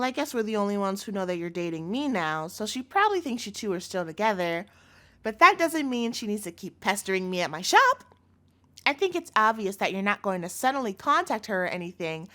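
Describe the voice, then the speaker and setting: A high, very bright female voice from a woman acting as an obsessive young yandere girlfriend in an audio roleplay. She dotes on her captive listener in a cutesy, possessive way, with menace under the sweetness. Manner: cutesy doting tone sliding into menace.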